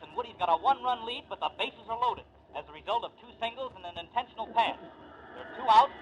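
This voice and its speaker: An actor playing an excitable baseball play-by-play announcer in old-time radio drama style. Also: male voice, high-pitched. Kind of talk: 1940s radio acting, play-by-play commentary. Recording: noisy old radio recording, stadium din.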